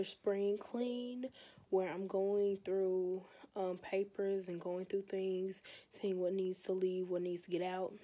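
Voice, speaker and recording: A young American woman with a stuffy, hoarse cold voice, chatting casually and rambling in a tired way, recorded on a phone held close in her bedroom. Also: mid-range voice, mellow. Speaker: beauty vlogger. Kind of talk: casual vlog chat. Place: bedroom, indoors.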